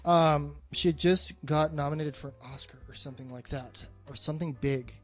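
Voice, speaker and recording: A man's voice: a little high, steady tone, a little fast, soft background music. A man chatting casually about film as a podcast co-host, blunt and freely swearing.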